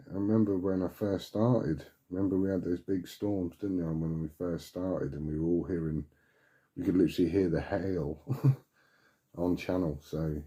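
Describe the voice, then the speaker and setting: A man in casual CB radio chat, musing and thinking out loud. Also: deep voice. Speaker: CB radio hobbyist asking for advice.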